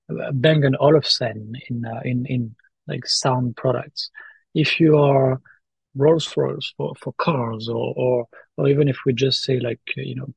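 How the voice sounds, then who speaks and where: A man's voice: mid-range voice, vocal fry, darkish tone, breathy edge, no echo, lo-fi sound. A man hosting a podcast in casual chat, often repeating words and tagging his points with "right?". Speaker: podcast host.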